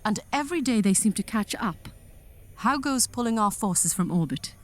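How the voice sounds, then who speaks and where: A woman's voice: a little high, animated, moderate pace, close to an everyday voice. A woman playing a commanding, impatient alien general in a sci-fi audio drama, clipped and drily sarcastic. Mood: stern, impatient.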